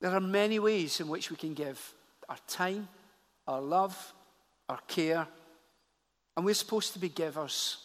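A man's voice: high voice, measured pace, pauses often. Earnest man preaching in a hall. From Glasgow, Scotland. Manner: earnest, repeats phrases for emphasis. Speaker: church leader. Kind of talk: church appeal from the pulpit. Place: church service. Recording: church hall reverb.

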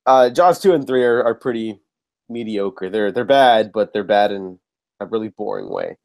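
A man's voice: lowish voice, moderate pace, vocal fry, darkish tone. A man hosting a casual movie podcast, slightly nasal from a cold, chatty and swearing casually. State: getting over a cold, a bit nasal. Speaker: podcast host.

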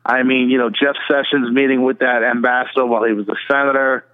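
A man's voice: medium pitch, little pitch movement, fast, smooth. An American man calling in to talk radio over the phone, casually debating politics in a skeptical, dismissive way. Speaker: phone-in caller. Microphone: telephone. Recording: narrow, tinny phone line.

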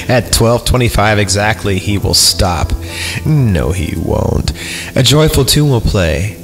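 A man hosting a podcast, reading a horror story irreverently with sweary, goofy asides and mocking ad-libs. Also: mid-range voice. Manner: sweary, goofy, breaks off to ad-lib and mock the text. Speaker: podcast host. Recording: long reverb, loud music behind. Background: eerie film score music.

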